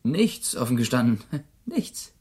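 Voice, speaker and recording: An actor playing a baffled, uneasy murder suspect in a radio play, hesitant, slangy and slow on the uptake. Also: male voice, medium pitch, sing-song, darkish tone, husky, exaggerated voice. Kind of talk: German radio drama dialogue.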